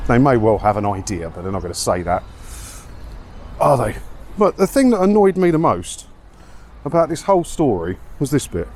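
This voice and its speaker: A man with a lively, swooping delivery and a middling pitch talks casually to his viewers while out walking. He grumbles sardonically through news commentary, tossing out rhetorical questions. There is light outdoor wind and street noise behind him. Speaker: vlogger hosting a commentary video. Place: outdoors, walking around an English seaside town.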